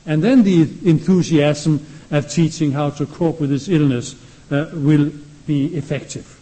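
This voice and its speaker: A man with a Danish accent, speaking English as his second language, delivers a lecture at a steady classroom pace. His voice is warm and mellow and sits a little high for a man. He poses question after question to the room. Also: unhurried, poor audio. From Denmark.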